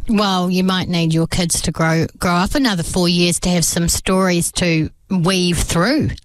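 A woman's voice: on the low side, fairly even intonation, measured pace, no room sound. A woman hosting a radio interview in an upbeat, chatty way. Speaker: radio host.

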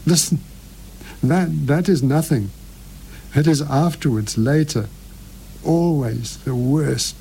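A man's voice: mid-range voice, gravelly, warm tone, slight background noise, poor audio. A man voicing a dying old woman pleading. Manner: broken, pleading, halting phrases. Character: dying elderly woman, frightened, confessing. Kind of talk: dramatic reading aloud.

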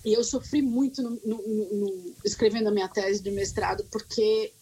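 A woman, a teacher, chatting casually, telling stories about herself in an animated, self-mocking way with frequent restarts. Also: fairly high pitch, normal speed, creaky, husky, rough recording. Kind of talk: casual conversation.

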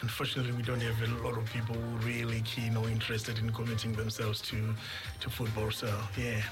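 A middle-aged man from Zimbabwe, a radio guest who talks earnestly and haltingly, often repeating words as he thinks. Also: medium pitch, completely flat delivery, music in the background. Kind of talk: radio interview.

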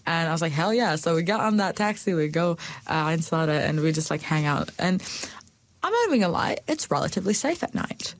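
Chatty young woman, lively pitch. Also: fairly quick, dry recording. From Palestine. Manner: chatty, lots of "like" fillers, animated pitch jumps. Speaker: guest. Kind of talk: casual chat.

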